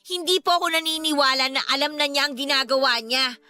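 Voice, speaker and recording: An actress playing an outspoken, fiery grown daughter in a Filipino radio drama, speaking bluntly and emphatically but with respect. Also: female voice, high-pitched, steady tone, fairly quick, bright, forceful tone, no room sound. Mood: indignant, frustrated. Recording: studio drama with music behind.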